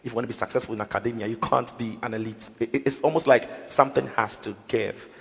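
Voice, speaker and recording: A man hosts a conference panel in a mid-pitched voice and asks questions at a quick, conversational pace. Reverb lingers in the hall around him. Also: steady tone, gravelly. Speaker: panel host. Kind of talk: moderating a panel.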